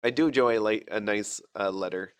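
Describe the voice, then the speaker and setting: Rambling low-voiced man with a cough. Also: a little fast, clean tone, no echo, clean studio sound. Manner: rambling, self-deprecating, many fillers and restarts. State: sick, fighting a cough. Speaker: gaming channel host. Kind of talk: casual gameplay commentary.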